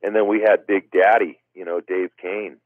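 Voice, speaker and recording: A man's voice: sits low, little pitch movement, normal speed, no echo. A man speaking as a guest in a casual interview, rambling with many "you know" fillers. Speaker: guest wiffle ball player. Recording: tinny phone line.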